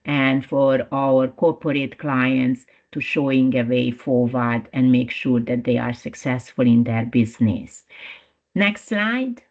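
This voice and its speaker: Woman with a Hungarian accent, webinar presenter, slow delivery, dull lo-fi audio. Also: rumbling low, a little mellow. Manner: unhurried, with frequent fillers and restarts. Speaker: principal lawyer presenting a webinar.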